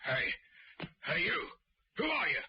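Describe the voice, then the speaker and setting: Very high-pitched, alarmed security guard. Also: male voice, sing-song, slow pace, husky, full character voice. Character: startled security guard shouting challenges. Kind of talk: radio drama acting. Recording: dull old radio recording.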